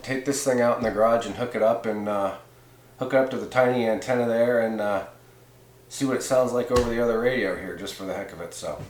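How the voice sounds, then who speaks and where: A man talks in an echoey room with faint noise behind him. His voice sits at an average pitch, and he delivers a casual product review in a rambling, folksy manner. He sounds like a laid-back hobbyist showing off gear. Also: quick pace. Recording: echoey room, noticeable background hiss.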